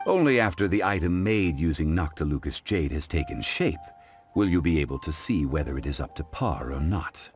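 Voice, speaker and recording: Cultured game gentleman, very deep, expressive intonation, formal, calm, lecturing, music underneath. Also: moderate pace. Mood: calm, instructive. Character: refined, unworldly gentleman connoisseur, calm and scholarly. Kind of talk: English-dubbed video game acting.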